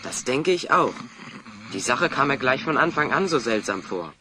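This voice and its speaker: An actor playing a cheeky, plucky little dwarf hero in a children's audio drama, with a teasing manner. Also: male voice, mid-range voice, moderate pace, background noise, close to an everyday voice. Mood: pensive. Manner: teasing, animated.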